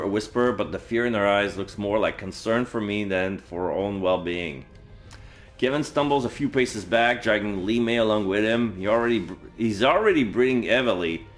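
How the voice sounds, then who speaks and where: A man livestreaming a game, reading visual novel text aloud and adding casual commentary as he plays. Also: lowish voice. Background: game music.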